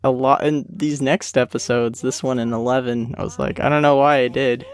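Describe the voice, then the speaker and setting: A man giving a casual, rambling and self-deprecating commentary on an animated series he made. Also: mid-range voice, normal speed. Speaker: creator of an animated parody series, commentary host. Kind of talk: casual commentary track chat.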